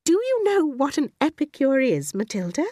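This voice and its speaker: A gentle lady schoolteacher as a woman narrator plays her, kindly and a little prim. Her voice is raised and very melodic, attentive and encouraging, in a dry storybook recording. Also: sits high, wildly swooping pitch, normal speed, no echo, voice pushed up. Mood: gently instructive.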